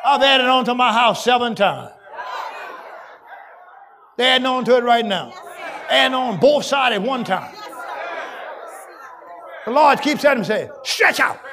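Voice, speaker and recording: A man preaching a sermon to his congregation, shouting and chanting in a call-and-response style. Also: very high-pitched, lively intonation, a little slow, long pauses. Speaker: pastor. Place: large church. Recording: crowd and noise behind, loud. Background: congregation crowd.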